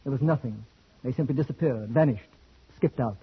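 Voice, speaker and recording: An actor playing a brisk, wry, skeptical but uneasy English husband, husky-voiced, in old-time radio drama style. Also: male voice, medium pitch. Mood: dismissive, wry. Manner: brisk, wry, well-bred. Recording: dull old radio recording.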